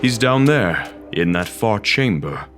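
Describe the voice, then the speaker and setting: A slow, defiant villain. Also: male voice, lowish voice, very animated. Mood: grudging. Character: defiant, fanatical captured male villain. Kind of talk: radio drama acting. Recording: music under the voice.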